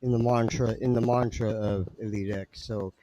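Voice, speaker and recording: A man's voice: fairly low pitch, fairly even intonation, normal speed, lo-fi sound, a crowd in the background. A man hosting a casual interview, asking loose, laid-back questions and often saying "right". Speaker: podcast host.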